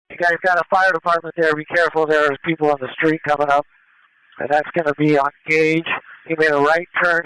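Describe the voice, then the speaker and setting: A man calling a police pursuit over the radio, directing units in a measured, matter-of-fact way. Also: a little high, pushed and bright, clean tone, poor audio. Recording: live police scanner radio transmission.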